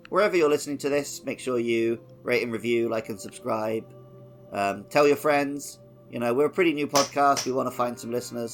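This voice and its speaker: A man co-hosting a casual video game podcast in a chatty, tongue-in-cheek way. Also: medium pitch. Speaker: podcast co-host.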